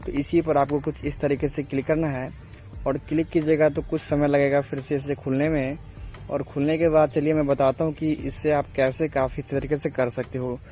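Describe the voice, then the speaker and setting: A man's voice: a little high, a little mellow, low-quality recording. A man hosts a step-by-step phone tutorial in a repetitive, instructional way with frequent check-in tags. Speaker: tech tutorial host.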